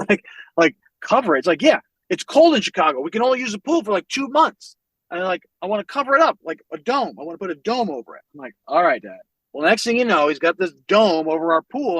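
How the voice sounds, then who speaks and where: Animated, swearing man telling family stories. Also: high-pitched, quick pace, slightly rough, no echo, poor audio. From United States, Northwest Indiana. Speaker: podcast guest. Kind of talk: casual storytelling conversation.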